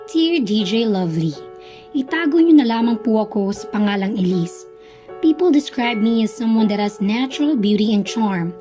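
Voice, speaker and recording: A young woman plays a Filipino radio narrator reading a confessional love letter. The voice is fairly high, earnest and measured, in scripted drama style. Music plays clearly behind it. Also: dark tone, natural voice. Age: young adult.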